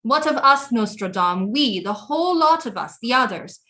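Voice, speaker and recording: A woman's voice: fairly high pitch, expressive, normal speed, pushed and bright. An actress playing a proud, brooding queen mother in an audio play, resentful of her critics, with a regal, formal and dramatic delivery. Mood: indignant.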